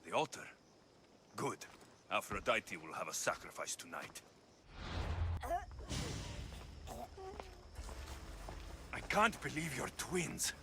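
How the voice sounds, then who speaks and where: A man playing a gruff, hot-tempered, sardonic Greek mercenary with a Greek accent in a video game cutscene, speaking bluntly. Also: lowish voice, big pitch swings, normal speed, noisy background. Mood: wry, grim. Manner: forceful, blunt.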